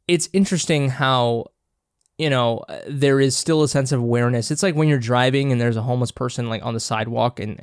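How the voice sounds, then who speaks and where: A man's voice: mid-range voice, no echo, clean studio sound. A congested man hosting a podcast, chatting casually and rambling with many restarts while he snacks. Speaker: podcast host. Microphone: own camera and mic, close and dry.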